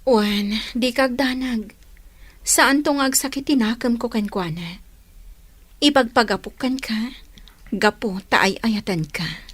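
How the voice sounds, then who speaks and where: A woman playing a gentle, grateful young student in an audio drama. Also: fairly high pitch, slow, long pauses, husky. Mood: reassuring. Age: young adult.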